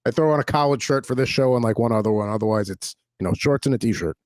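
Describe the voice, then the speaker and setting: Fast-talking man in his forties, dry sound. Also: mid-range voice, darkish tone, dry recording. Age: 40s. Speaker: show producer and sidekick. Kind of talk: casual joking banter. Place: at home.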